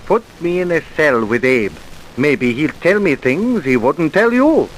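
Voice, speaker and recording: A man's voice: on the high side, very animated, unhurried, low-quality recording. A man playing an evasive, colloquial truck driver turned informer in an old radio drama. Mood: pleading. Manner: colloquial, evasive, working-class plainness. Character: wary, wheedling male dirt-truck driver turned informer. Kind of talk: vintage radio crime drama acting. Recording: hissy old radio recording.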